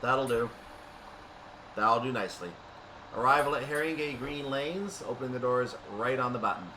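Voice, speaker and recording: A man commentates on a train simulator in a mid-pitched voice, set back from the microphone. He narrates his actions in announcer-like phrases, with a clear vehicle rumble and hum behind him. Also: moderate pace. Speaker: video game streamer. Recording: distant mic, loud vehicle-like background noise.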